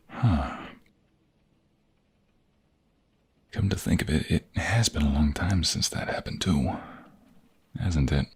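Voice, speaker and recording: Guy playing a soft-spoken mobster boyfriend for asmr roleplay, super breathy and raspy, really deep, gentle and protective. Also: exaggerated voice. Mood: thoughtful. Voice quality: husky, gravelly, breathy low voice. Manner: soft, halting, gentle, intimate. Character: tender, protective young male mobster in love. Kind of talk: intimate ASMR romance roleplay.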